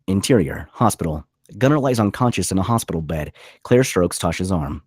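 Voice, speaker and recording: A man narrates screen directions from a script in a low, husky voice. The sound is dry and close, as at a table read. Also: expressive, brisk, dry recording. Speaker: stage-direction reader.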